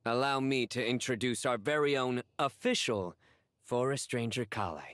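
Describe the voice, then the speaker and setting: A man voicing an earnest, theatrical joker in a video game, speaking in a measured, formal and self-satisfied way. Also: medium pitch, a bit bright, no room sound, clean studio sound, close to an everyday voice. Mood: composed.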